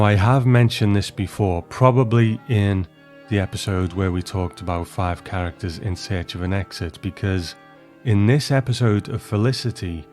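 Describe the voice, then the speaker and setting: A man with a low voice hosts a scripted episode commentary. His delivery is measured and explanatory, and light music plays behind him. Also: darkish tone. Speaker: host of a commentary show on an old TV series.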